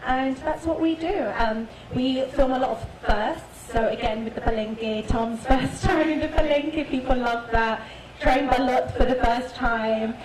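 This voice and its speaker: A woman's voice: high-pitched, a little slow. A woman in her thirties, a family vlogger introducing herself on a panel in a friendly, bubbly way with frequent laughs. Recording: echoey hall with crowd.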